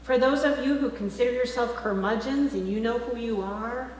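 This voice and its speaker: A woman minister preaches in a large, very reverberant church space with faint noise behind her. Her voice sits a little high. She phrases emphatically, with deliberate pauses in the manner of a sermon. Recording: very echoey hall, faint background noise.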